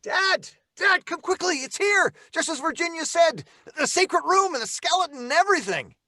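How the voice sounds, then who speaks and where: An actor playing an excited boy twin in an old-time radio drama, urgently calling out. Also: very high-pitched, moderate pace, no echo, clean studio sound, heavily acted. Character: excited boy twin shouting about a discovery.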